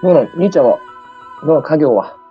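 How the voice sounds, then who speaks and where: A woman's voice: sits low, animated, very fast, highs cut off, faint music behind. A woman playing a puzzled, casual younger brother, asking questions in an improvised radio drama. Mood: casual, apologetic. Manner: baffled, questioning.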